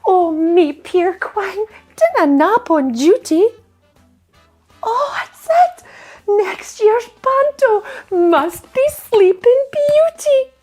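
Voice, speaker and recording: A woman acts a kindly fairy godmother in a pantomime, with a broad Doric accent from north-east Scotland. She rhymes in a very high, lively voice, drawing the words out slowly and theatrically. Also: soft background music, heavily acted. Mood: fond, concerned, then delighted. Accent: north-east Scottish Doric. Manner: rhyming, exclaiming, theatrical. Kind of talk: pantomime rhyming monologue.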